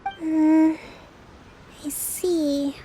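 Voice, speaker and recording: A woman playing a gentle, composed young island leader in an anime game, polite and measured. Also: sits high, little pitch movement, slight background noise, poor audio. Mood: gently resigned. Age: young adult. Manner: polite, measured, soft-spoken.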